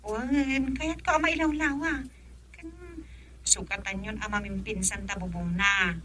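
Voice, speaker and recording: An actress playing an assertive wife who works abroad in a radio drama, speaking with an Ilocano accent. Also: female voice, medium pitch, a little slow, pushed and bright. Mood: eager, insistent. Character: assertive wife working abroad, eager to spend.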